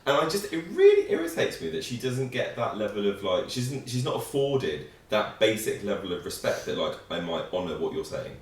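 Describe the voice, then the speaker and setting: A man's voice: on the low side, fairly quick, few pauses. A man co-hosting a reaction show, talking casually and with frustration about an episode. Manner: animated, frustrated critic. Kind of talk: casual chat reviewing an episode. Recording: echoey room, distant mic.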